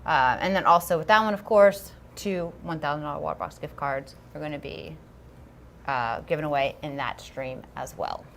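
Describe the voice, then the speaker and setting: A woman's voice: deep voice, moderate pace, creaky, a bit bright, slight background noise. A woman co-hosting a casual livestream, chatty and upbeat, with plenty of ums and a self-deprecating streak. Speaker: livestream co-host.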